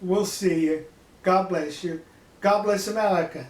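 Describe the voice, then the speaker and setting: High-pitched man, folksy and echoey. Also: steady tone, measured pace, background noise. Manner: folksy, emphatic, rhetorical questions. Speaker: online political commentator. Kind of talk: casual monologue commentary to viewers.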